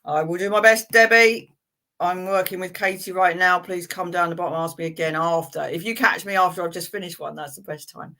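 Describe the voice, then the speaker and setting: A woman's voice: lowish voice, steady tone, brisk, bright, forceful tone. A woman hosting a live tarot reading stream, chatting in a friendly way with viewers and reading cards for them, with frequent fillers and laughs.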